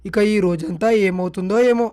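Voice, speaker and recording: Man acting a high-voiced, bitter young son. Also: moderate pace, darkish tone, no room sound, clean studio sound, natural voice. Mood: disgusted, angry. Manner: resentful, cutting. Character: bitter, scornful college-age son rejecting his mother. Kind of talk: melodramatic Telugu moral-story cartoon voice acting.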